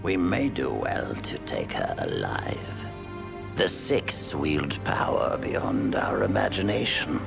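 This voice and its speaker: Super low gravelly empire commander, slow n scheming, formal fantasy game talk, music behind. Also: male voice, very animated, full character voice. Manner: formal, measured, archaic diction. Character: grave, calculating male imperial high commander. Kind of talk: video game cutscene acting.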